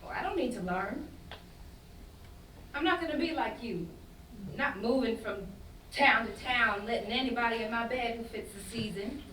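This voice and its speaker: A woman playing a soft-hearted, loyal and defensive young woman in a staged play reading, earnest and speaking in vernacular. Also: fairly high pitch, normal speed, pauses often, close to an everyday voice. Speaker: student actor in a staged reading. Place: indoor festival staged reading. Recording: echoey room, distant mic, faint noise.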